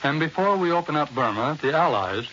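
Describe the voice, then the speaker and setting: Formal American war correspondent, old hissy radio. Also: male voice, on the high side, animated, a little fast, a bit bright, muffled recording. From United States. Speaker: war correspondent, interview guest. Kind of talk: scripted 1940s radio news report. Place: New York radio studio. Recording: hissy, band-limited 1940s radio recording.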